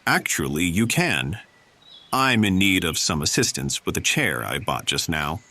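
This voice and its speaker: A man acting an overfamiliar, chipper middle-aged businessman in an audiobook. The voice is deep and rumbling yet bright, with animated, courteous, folksy delivery. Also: unhurried, heavily acted. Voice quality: low, rumbling. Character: tall, chipper, overfamiliar businessman in his forties.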